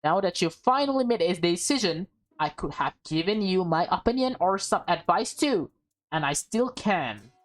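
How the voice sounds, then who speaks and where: A woman's voice: lowish voice, lively intonation, moderate pace, natural voice. A woman voicing a stern, guarded young maid in a visual novel, formal and scolding. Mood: measured advice.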